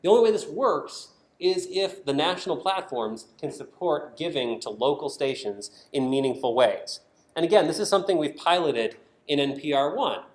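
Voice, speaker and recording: A man's voice: on the high side, lively intonation, normal speed. A man giving a prepared conference presentation, explaining his points with emphatic stresses. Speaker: conference presenter, design team member at a public radio network. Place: indoor conference talk. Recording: echoey room sound.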